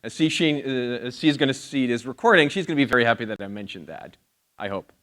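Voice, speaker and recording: A man's voice: medium pitch, animated, quick pace. A man in his thirties from outside the US, giving a chatty conference talk on a theater stage with a lapel mic. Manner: loud, chatty, self-deprecating. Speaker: conference speaker.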